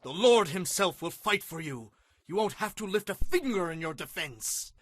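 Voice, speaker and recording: A man's voice: high voice, animated, moderate pace, a bit bright, voice pushed up. A man voicing a prophet who firmly reassures his people, emphatic and exhorting, in a dramatized Bible reading. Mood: urgent reassurance.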